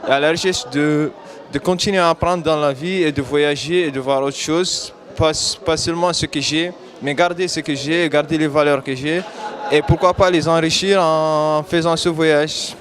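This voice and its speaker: A man's voice: on the high side, steady tone, normal speed, fairly bright tone. Hesitant, reflective man outdoors. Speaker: migrant interviewee. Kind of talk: reflective interview.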